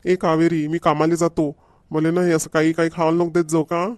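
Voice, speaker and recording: A man's voice: fairly high pitch, monotone, brisk, darkish tone, no echo, close to an everyday voice. A man playing a grumbling, demanding village husband in a comedy cartoon, with a Varhadi Marathi accent and a nagging, repetitive manner. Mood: indignant, bossy. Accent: Varhadi Marathi from Vidarbha.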